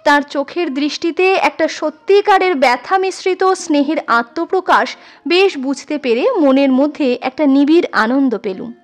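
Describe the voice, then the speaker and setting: Expressive high-pitched woman narrating over music. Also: moderate pace. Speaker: storyteller reading literary fiction. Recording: music under the voice. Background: instrumental music.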